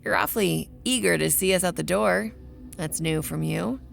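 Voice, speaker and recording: A woman playing a sardonic, stubborn young vampire researcher in an audio drama, with a creaky voice and dry sarcasm. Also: lowish voice, normal speed. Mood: wry. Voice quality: gravelly, creaky. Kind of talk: audio drama acting and first-person narration.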